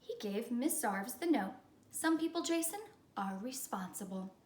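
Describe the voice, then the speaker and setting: A woman voicing a brisk, firm, easily exasperated female schoolteacher in a storybook reading. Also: on the high side, expressive, darkish tone. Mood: approving, firm. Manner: firm, instructing. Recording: echoey room.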